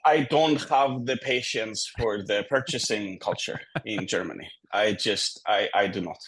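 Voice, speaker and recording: A man from Greece talking conversationally as a consultant and guest in a business interview, candid and measured, laying out his points in order. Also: on the low side, fairly bright tone, slight background noise, rough recording.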